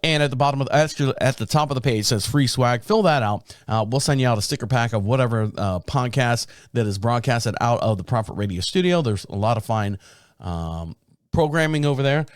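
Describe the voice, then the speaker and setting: A man in a podcast studio, his voice a little raspy from a sore throat and sitting at a middle pitch. He rambles loosely and often breaks off his sentences. The sound is dry and close, with no room echo. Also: animated, a little fast. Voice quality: deep. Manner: rambling, self-interrupting storyteller. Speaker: podcast host. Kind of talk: casual solo podcast talk. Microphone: studio microphone.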